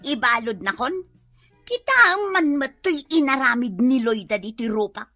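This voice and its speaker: Radio drama: woman playing a fed-up wife, high, bright and tense, dry close mic. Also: animated, normal speed, dry recording, faint music behind. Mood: defensive. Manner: complaining, defensive. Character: troubled wife ashamed after a fight. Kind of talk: Ilocano radio comedy drama acting.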